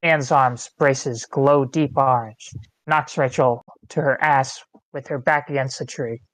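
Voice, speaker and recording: A man's voice: medium pitch, steady tone, unhurried, fairly bright tone, no echo, low-quality recording. A man reading stage directions as narrator at a screenplay table read.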